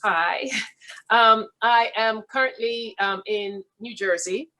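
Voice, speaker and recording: A middle-aged woman with a Guyanese accent, a physician introducing herself on an online panel in a relaxed, chatty way. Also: fairly high pitch, slow pace, low-quality recording. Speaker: physician guest.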